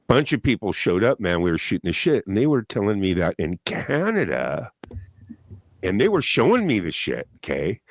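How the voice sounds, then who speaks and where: A man chatting casually on a live panel, telling stories in a loose, profane way with drawn-out emphasis. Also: low voice, dry recording.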